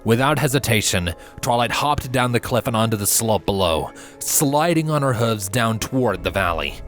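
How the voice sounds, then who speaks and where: A man reading a scripted fantasy story as narrator, in a measured, dramatic and solemn way. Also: medium pitch, lively intonation, a bit bright, soft background music.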